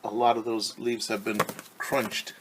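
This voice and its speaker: A man reading a picture book aloud as a teacher, pausing to ask children questions and explain things simply. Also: lowish voice, level tone, noisy background.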